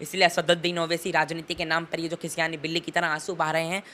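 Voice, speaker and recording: A man's voice: a little high, completely flat delivery, fast talker, sharp tone, a little hiss or hum. A teenage young man giving impassioned political commentary in an interview, emphatic and oratorical, leaning on rhetorical repetition.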